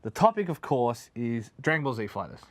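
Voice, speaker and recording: Fast-talking, opinionated man co-hosting. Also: mid-range voice, sing-song. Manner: opinionated, emphatic. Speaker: show co-host. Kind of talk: casual video game discussion.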